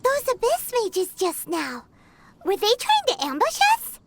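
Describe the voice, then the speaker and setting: A squeaky, excitable fairy sidekick. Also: female voice, unhurried, vocal fry, very noisy, heavily acted. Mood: excited. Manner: excitable, chatty, refers to herself in third person. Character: tiny chirpy fairy companion girl. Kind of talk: video game voice acting. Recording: game audio with loud music.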